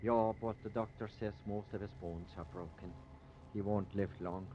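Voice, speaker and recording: An actor playing an excitable, grumbling small-town sheriff in a folksy manner, in 1950s radio drama style. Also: male voice, fairly low pitch, normal speed, natural voice. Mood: somber. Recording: dull, noisy old radio recording.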